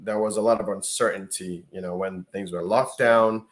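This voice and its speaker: Low-voiced guy chatting about the market, halting, repeats himself a lot. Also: steady tone. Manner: halting, repeats words while thinking. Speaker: realtor co-host. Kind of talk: casual reaction chat.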